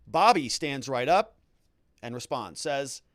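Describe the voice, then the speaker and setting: A man's voice: mid-range voice, expressive, slow pace, fairly bright tone, no room sound, studio quality. A man hosting a legal commentary show, recapping a trial and reading quotes aloud with emphatic, theatrical stresses.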